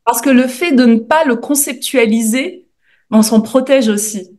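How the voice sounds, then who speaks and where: A woman's voice: a little high, normal speed, darkish tone. A woman from France speaking as a podcast guest, in an informal, reflective conversational way. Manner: informal, reflective, often checking with "tu vois". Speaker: podcast guest, journalist and author. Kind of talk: casual interview talk.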